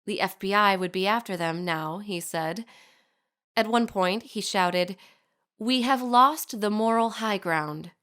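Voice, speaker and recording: A woman's voice: mid-range voice, measured pace, fairly bright tone, lightly acted. A woman playing a poised, sardonic society lady in an audio drama, narrating a letter with precise, formal, archly wry diction. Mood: wry, amused. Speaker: actor in a fiction podcast. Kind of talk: scripted audio drama monologue, letter read aloud.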